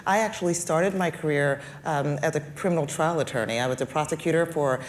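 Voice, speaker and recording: A woman guest with a deeper voice answering on a panel, speaking in a deliberate, measured way with formal wording. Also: slight background noise. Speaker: guest, healthcare executive.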